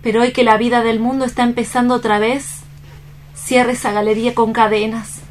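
Radio play casting: a woman playing a young woman fresh from despair, earnest and pleading, mid-to-high register, slightly hissy recording. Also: little pitch movement, brisk, lightly acted. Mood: urgent pleading. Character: distraught, resolute young woman recovering from despair. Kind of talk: dramatic staged radio reading.

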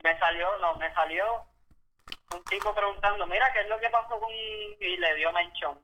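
A young man, telling stories in animated bursts and cursing freely. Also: sits high, moderate pace, slight background noise, low-quality recording. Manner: animated storyteller, curses freely. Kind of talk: casual chat.

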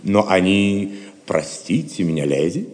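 An actor playing a haughty, gallant crusader knight in a Russian audio drama, pompous and courtly. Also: male voice, low-pitched, very animated, unhurried, a little mellow, big-room sound. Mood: boastful.

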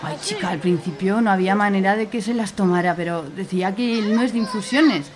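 A woman's voice: mid-range voice, animated, a little fast, crowd noise, lightly acted. A woman playing a brassy, grumbling, teasing middle-aged cleaner with an Aragonese accent and salty colloquial talk in a radio comedy serial. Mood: gossipy. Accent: Aragonese Spanish.

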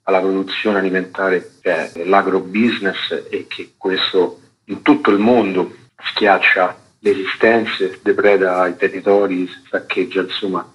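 Man, low pitch, activist interviewee, spontaneous explaining, slightly muffled lo-fi radio recording. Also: little pitch movement, a little slow, a bit bright. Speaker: interviewed activist and event organiser. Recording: dull lo-fi radio sound.

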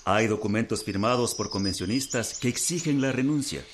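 A man's voice: on the low side, a little fast, a little hiss or hum, close to an everyday voice. A man playing a courteous, formal general. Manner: formal and polite. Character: courteous, persuasive army general, middle-aged. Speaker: envoy to the convention. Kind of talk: radio drama acting.

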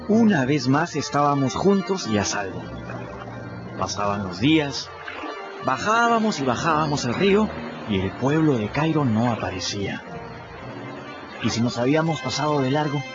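A man with a Peruvian accent narrating a story in the first person in an expressive, storytelling manner. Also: fairly high pitch. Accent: Peruvian Spanish. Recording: dull audio with music underneath.

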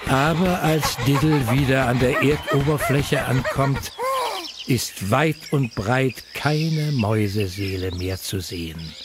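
A man narrating a children's audio story in an expressive, playful way. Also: mid-range voice, slow.

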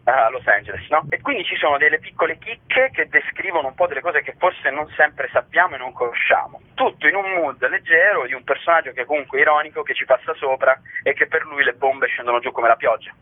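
A man's voice: on the high side, brisk, hardly any pauses. A man with a Roman Italian accent talks at length in an informal radio phone interview, explaining and often adding tag questions. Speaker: actor and author interviewed about his show. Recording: muffled narrowband phone line on radio.

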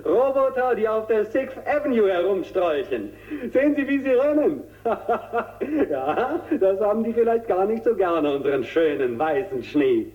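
A man's voice: sits high, sing-song, a little slow, big-room sound. Tinny, gushing TV showman. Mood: astonished, then gleeful. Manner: gushing, savoring and repeating words. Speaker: TV presenter in a drama. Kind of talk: radio drama, showman-like broadcast commentary. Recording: tinny narrow-band radio drama recording.

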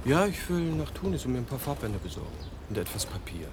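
A man's voice: medium pitch, very animated, quick pace, close to an everyday voice. Man acting as a polite reserved writer in a radio drama, mid voice, noisy ambience behind. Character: polite, reserved male American writer abroad. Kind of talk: radio play dialogue. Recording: clear background ambience noise.

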